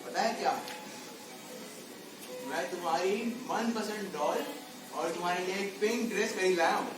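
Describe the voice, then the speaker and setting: An actor playing a gentle, caring clown on stage, speaking tenderly and haltingly, doting on a young girl. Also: high-pitched, close to an everyday voice. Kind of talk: Hindi stage play acting. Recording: echoey hall, distant mic, music behind.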